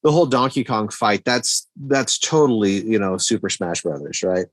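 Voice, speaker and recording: A man's voice: lowish voice, lively intonation, normal speed, no room sound. A man co-hosting a podcast, talking casually as he discusses and reviews films. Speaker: podcast co-host. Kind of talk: casual movie review chat.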